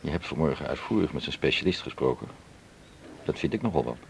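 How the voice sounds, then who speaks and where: An actor playing a calm, firm male confidant in a radio drama, gravelly and measured. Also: heavily acted. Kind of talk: 1980s Dutch radio drama acting. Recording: faint rumbling background noise.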